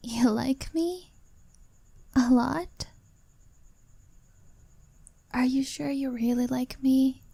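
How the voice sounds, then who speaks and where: A woman voicing a shy young woman who confesses her feelings to a younger friend, speaking softly and often in a whisper. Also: high voice, drawn-out words, gravelly, pauses often, husky, no echo, full character voice. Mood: teasing. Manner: soft, hesitant, often whispered. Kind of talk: ASMR-style roleplay monologue.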